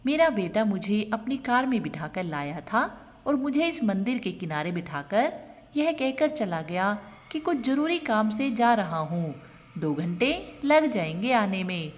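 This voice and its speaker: A woman's voice: medium pitch, sing-song, unhurried. Woman voicing a sorrowful old mother, reverberant. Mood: sorrowful, resigned. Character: abandoned old mother, resigned, sorrowful, devout, wary. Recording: long reverb on the voice.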